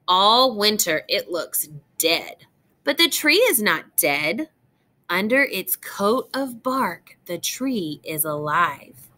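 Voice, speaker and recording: Woman, teacher read-aloud for kids, bright voice, lively intonation, deliberate phrasing. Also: mid-range voice. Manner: deliberate, expressive phrasing addressed to young children. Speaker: teacher reading aloud to children. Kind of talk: children's picture book read-aloud with questions to listeners.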